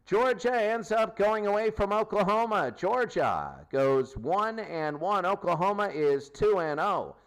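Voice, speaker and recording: A man's voice: high-pitched, expressive, a little slow, hardly any pauses, fairly bright tone, poor audio. A man hosting a sports post-game show, recapping games in an emphatic way and repeating his phrases.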